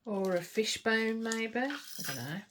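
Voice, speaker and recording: A woman's voice: fairly high pitch, animated, measured pace, fairly bright tone, a little hiss or hum. A woman chatting casually to camera while she works on a craft kit, murmuring and trailing off, a little sniffly. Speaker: craft vlogger.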